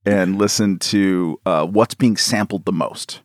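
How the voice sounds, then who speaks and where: Deep-voiced man in casual chat. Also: no room sound, studio quality. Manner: halting, many fillers and restarts. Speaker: podcast co-host.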